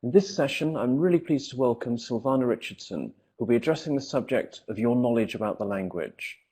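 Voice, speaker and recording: Mellow-voiced man hosting a conference. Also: medium pitch, animated, brisk. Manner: friendly, hesitant with ums. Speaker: conference host. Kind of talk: introducing a speaker.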